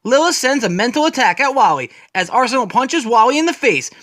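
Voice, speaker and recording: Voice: mid-range voice, very animated, brisk, fairly bright tone, no echo, clean studio sound. A person giving a dramatic, scripted narration as a storyteller, retelling comic book scenes in the present tense.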